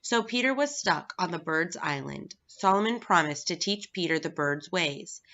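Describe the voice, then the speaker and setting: Bright-voiced woman reading a children's story. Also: fairly low pitch. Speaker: staff reader at a school. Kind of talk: children's story read-aloud. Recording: dull, lo-fi recording.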